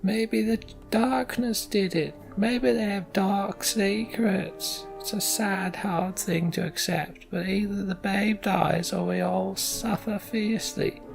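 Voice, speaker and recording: A fearful old clansman from a fantasy game, acted out by a man. His voice is pitched well up and creaky, and he draws out his doom-laden words slowly. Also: fairly even intonation, faint music behind, exaggerated voice, poor audio. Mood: fearful, ominous.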